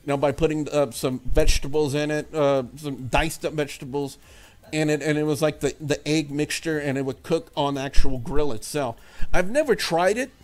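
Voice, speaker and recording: A man hosting a let's play, casually commenting and reading game text aloud in a halting way. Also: on the high side. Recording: music under the voice.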